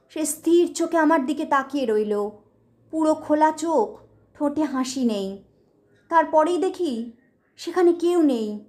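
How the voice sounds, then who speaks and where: A woman narrating a scripted ghost story in a measured, deliberate, dramatic way. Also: sits high, a little mellow.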